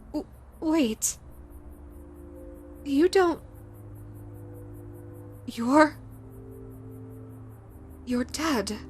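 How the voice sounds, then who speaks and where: A woman voicing a scarred, grieving female yokai ghost who hates herself, talking to the listener in a halting, stammering way. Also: high-pitched, a bit bright, exaggerated voice. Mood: startled. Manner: halting, stammering, repeats words. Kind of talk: audio roleplay to the listener.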